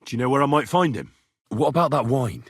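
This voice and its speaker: An actor playing an earnest, inquisitive young medieval blacksmith turned adventurer in a video game, speaking in a plain-spoken way. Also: male voice, medium pitch, expressive, quick pace, husky, dry recording, clean studio sound. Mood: probing, determined. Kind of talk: video game dialogue lines.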